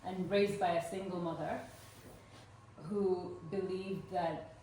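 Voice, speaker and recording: A woman in her forties from Uganda tells her personal story to a live audience at an event, earnest and warm, with frequent "um" fillers. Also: on the low side, fairly even intonation, slow pace. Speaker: speaker at a fundraising event. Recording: roomy echo, voice from far off, faint noise.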